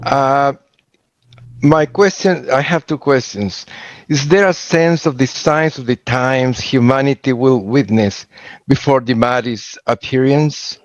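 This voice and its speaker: A man taking part in an online seminar, asking a question in a polite, measured way. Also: mid-range voice, dry recording. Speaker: course participant asking a question. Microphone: video call. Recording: dry lo-fi online call audio.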